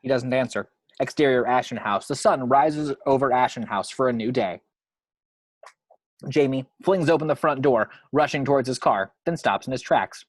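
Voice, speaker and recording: A man's voice: medium pitch, normal speed, no echo. A man reading action descriptions from a screenplay as scripted narration.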